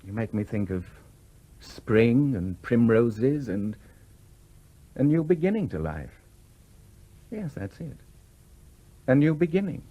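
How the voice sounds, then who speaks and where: An actor playing a charming, idle English gentleman in a 1970s radio play, suave and teasing with small hesitations. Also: mid-range voice, sing-song, takes it slowly, long pauses. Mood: earnest, wooing. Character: charming, flirtatious, idle well-off English gentleman, restlessly bored.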